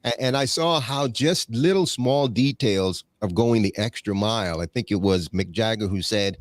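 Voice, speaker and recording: A man with a very deep, low voice talks through his thoughts as an interview guest. He rambles, restarts often and leans on fillers, and now and then drifts into a preacherly tone. The sound is close and dry, with no room around it. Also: moderate pace, no echo. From Bahamas. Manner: preacherly, cites scripture. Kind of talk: casual conversational interview.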